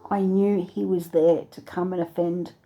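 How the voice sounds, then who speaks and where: Soft-toned, husky woman telling a dream. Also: lowish voice, little pitch movement. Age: adult with a three-year-old daughter. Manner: earnest, halting, searching for words. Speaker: channel host recounting a dream. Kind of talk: personal dream testimony.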